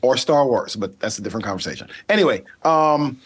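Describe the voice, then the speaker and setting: A middle-aged man from Saint Martin, a native Dutch speaker, talking earnestly as an interview guest with many pauses and ums. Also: mid-range voice, lively intonation, a little hiss or hum. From Saint Martin, Caribbean. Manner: thoughtful, frequent ums, earnest. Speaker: guest, ethical hacker. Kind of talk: casual interview conversation.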